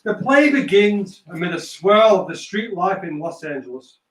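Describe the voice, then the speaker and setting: A roomy, slightly distant recording of a man with a fairly high voice. He is the host of a play reading, speaking casually and reading out scripted narration. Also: lively intonation, normal speed, a bit bright. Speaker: host and stage-direction reader.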